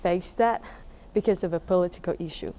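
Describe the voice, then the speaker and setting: Mellow young Taiwanese-accented woman. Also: lowish voice, a little fast, a little hiss or hum. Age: young adult. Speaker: musician being interviewed. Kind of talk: casual interview answers.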